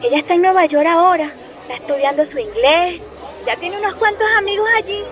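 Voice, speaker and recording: A woman's voice: extremely high pitch, animated, a little fast, a bit bright. A woman playing an affectionate, frank young adult daughter in a radio soap opera. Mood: excited, frank. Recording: faint crowd background.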